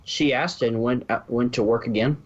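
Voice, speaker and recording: A male narrator reads fan fiction in a medium-pitched voice. He follows a script, occasionally tripping on words, and the recording is a bit dull and lo-fi. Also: moderate pace. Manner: stumbles over words and corrects himself.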